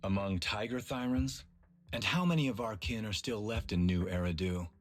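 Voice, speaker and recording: A man's voice: lowish voice, normal speed, soft background music, natural voice. A man playing a proud, grumbling older tiger-man in a video game dub, with a dry, skeptical manner.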